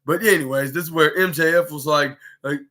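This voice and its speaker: A man's voice: fairly high pitch, brisk, bright, forceful tone. A man hosting his own podcast, recapping a show in a rambling way with many fillers and restarts. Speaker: podcast host. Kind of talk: casual solo show recap.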